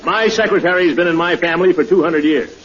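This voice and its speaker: An actor playing a pompous, boastful, indignant neighbour in a 1940s radio comedy. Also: male voice, on the high side, quick pace, very noisy, close to an everyday voice. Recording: dull vintage 1940s radio.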